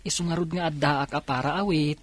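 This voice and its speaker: A male actor plays a hardworking elder brother from a poor village in a radio drama. His voice sits a little high for a man. He is sincere, dutiful and respectful. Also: fairly even intonation, moderate pace, natural voice. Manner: earnest, respectful.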